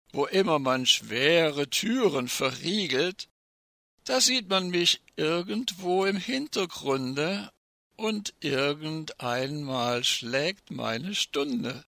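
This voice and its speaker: A man plays a sly personification of danger, a falsely courteous guest with a creaky, bright-edged voice. The rhymed verse comes theatrically, with wide swings in pitch, and each phrase is savoured with menacing politeness. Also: dry recording, clean studio sound, heavily acted. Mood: quietly ominous. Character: personified nuclear residual risk, sinister, falsely courteous uninvited guest. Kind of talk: theatrical recitation of a rhymed satirical poem.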